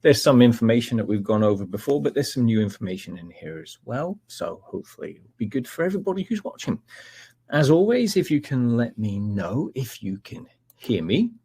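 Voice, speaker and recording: A middle-aged Scottish man presenting a live technical webinar in a relaxed, chatty way, with frequent ums and uhs. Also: mid-range voice, a little fast, slightly rough, no echo. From Scotland.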